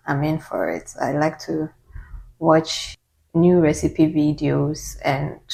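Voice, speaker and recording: Low-voiced Ghanaian woman vlogging. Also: gravelly, darkish tone, poor audio. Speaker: YouTuber. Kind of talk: casual get-to-know-me Q&A.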